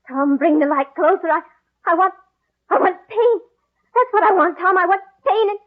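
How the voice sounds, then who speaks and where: A woman playing a dazed, grief-maddened young lady, breathless and halting, in the melodramatic manner of 1940s radio drama. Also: extremely high pitch. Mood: frantic, obsessed. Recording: thin, phone-like old radio recording.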